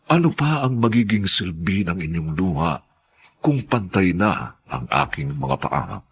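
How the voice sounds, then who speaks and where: A man's voice: low-pitched, big pitch swings, unhurried, husky. A man narrating a Filipino radio drama in a solemn, theatrical scripted style, stretching out key phrases.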